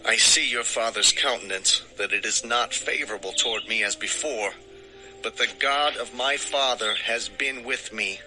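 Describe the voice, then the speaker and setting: An actor playing an earnest, wronged shepherd husband, declaiming in a measured way in a dramatized scripture story. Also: male voice, medium pitch, music in the background, lightly acted, low-quality recording. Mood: earnest, aggrieved.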